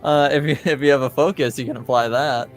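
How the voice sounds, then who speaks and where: A man narrating a tabletop roleplaying game as game master in a measured, hesitant, low-key way. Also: fairly even intonation. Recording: music behind the voice.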